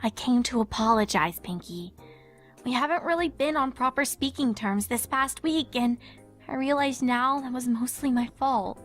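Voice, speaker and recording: A woman voicing a studious, sincere young female unicorn in a cartoon fan fiction reading, earnest and articulate with a slightly gruff, sceptical streak. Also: high-pitched, moderate pace, faint music behind, lightly acted. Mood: apologetic, sincere.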